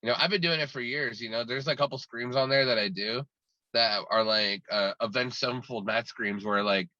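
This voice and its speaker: A man's voice: mid-range voice, fairly quick, pushed and bright, no echo, highs cut off. A man talking casually as an interview guest, rambling and restarting his sentences often. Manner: talkative and rambling, many restarts. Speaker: guest musician.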